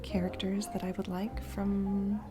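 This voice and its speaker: Music plays behind a woman acting as a single mom on a blind date in a romantic audio roleplay. She talks slowly and haltingly, flustered and a little self-conscious, with nervous giggles. Her pitch sits in an ordinary middle range for a woman. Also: monotone, natural voice. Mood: curious, self-conscious. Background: music, restaurant ambiance.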